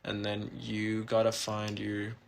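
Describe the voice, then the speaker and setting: A man narrating a casual, step-by-step software tutorial in an informal, instructional way. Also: on the low side, monotone, slow, creaky, a little hiss or hum. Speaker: tutorial host.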